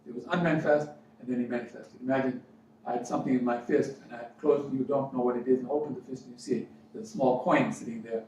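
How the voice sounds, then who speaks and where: Male motivational spiritual coach from Fiji, deliberate preaching delivery with emphatic repeats; heavily reverberant room, distant mic. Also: medium pitch, steady tone, a little mellow. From Fiji, educated in New Zealand. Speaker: life coach and spiritual speaker. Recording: very echoey room, distant mic, dull sound, faint noise.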